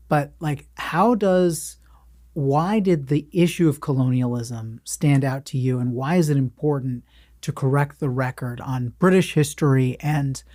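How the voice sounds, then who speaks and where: A man's voice: mid-range voice, a little mellow, no room sound, studio quality. A man hosting a conversational interview as a journalist, introducing and questioning his guest.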